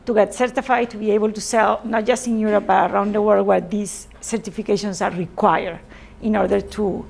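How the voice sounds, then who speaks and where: A woman from Spain with a Spanish accent gives an informal presentation to a group, haltingly, often repeating and correcting words. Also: medium pitch, steady tone, gravelly, a little mellow. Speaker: presenter, sailor.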